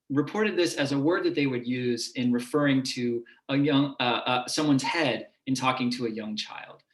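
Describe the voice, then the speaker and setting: A man, a university professor, giving a measured, explanatory lecture to a public audience. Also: medium pitch, a bit bright. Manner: measured and explanatory, with occasional restarts. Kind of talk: lecture presentation. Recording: echoey room.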